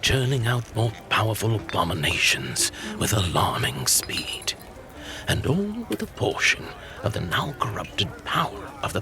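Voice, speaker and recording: A man playing a grave storyteller in a fantasy audio drama, narrating legend in a formal, portentous tone. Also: fairly low pitch. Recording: noisy atmospheric background bed.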